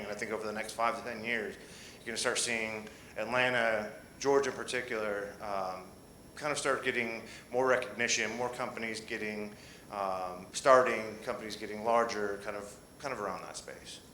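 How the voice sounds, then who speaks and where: A man's voice: lowish voice, steady tone, normal speed, sharp tone, roomy sound, slight background noise, low-quality recording. A man answering questions on a conference panel, speaking with many fillers and restarts.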